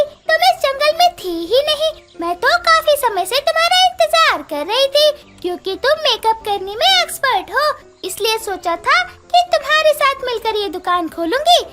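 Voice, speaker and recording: A woman's voice: very high-pitched, expressive, moderate pace, over loud music. A woman voicing a small, assertive female bird who runs a shop in a children's cartoon, speaking emphatically. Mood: cheerful, eager.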